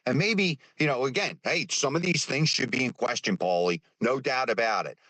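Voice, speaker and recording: A man in his fifties talks in a plain, middle-pitched voice, stopping and repeating words as he gathers his thoughts. The sound is close and dry, with no room around it. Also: sharp tone, no room sound. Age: 50s. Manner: halting, repeats words, many fillers. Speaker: speaker on a live stream. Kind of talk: casual opinion talk.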